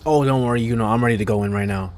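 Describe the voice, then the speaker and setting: A man's voice: medium pitch, rapid-fire, darkish tone. Rambling, playful man streaming a game. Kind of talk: casual live playthrough commentary. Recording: live stream with faint game music.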